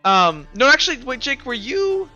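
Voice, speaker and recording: A man's voice: very high voice, sing-song, normal speed, pushed and bright. A man running a tabletop roleplaying game as game master, explaining things emphatically in casual talk over a lo-fi recording. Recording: dull lo-fi recording with music underneath. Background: music.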